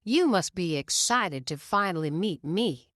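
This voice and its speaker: A woman voicing a cocky young male race car who speaks in a slow, smug drawl. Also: lowish voice, expressive, fairly bright tone, no room sound, clean studio sound.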